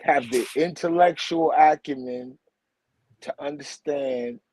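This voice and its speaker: A man's voice: on the high side, takes it slowly, darkish tone, rough recording. A man in his forties who grew up in Brooklyn, talking in a rambling, slangy way as a guest. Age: 40s. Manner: rambling, slangy, repeats "you heard?" and "for real". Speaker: guest, teacher and author. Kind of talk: casual spiritual conversation.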